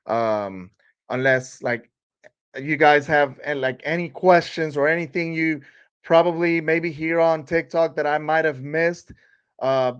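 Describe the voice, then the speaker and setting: A man's voice: a little high, fairly bright tone, clear, resonant tone, lo-fi sound. A man from Puerto Rico, a native Spanish speaker with a Puerto Rican accent, hosting a casual live chat and rambling with many fillers and restarts. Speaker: live stream host.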